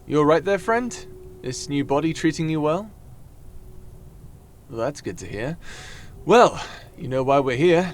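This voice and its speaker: A man performs a breezy adventurer god for a fantasy audio roleplay. His mid-pitched voice is highly animated, with casual, roguish bluster that stumbles now and then. There is slight noise in the recording. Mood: excited, chatty.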